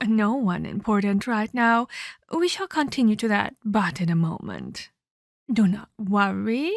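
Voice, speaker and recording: Lady doing a vampire captor for asmr roleplay, slow n menacing, fake polite, scolding, really dry recording. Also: medium pitch, a bit bright, dry recording, heavily acted. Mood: condescending, sardonic. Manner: theatrical, mock-polite, slow deliberate scolding. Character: menacing female vampire captor, mockingly sweet, condescending, scolding. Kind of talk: scripted ASMR audio roleplay.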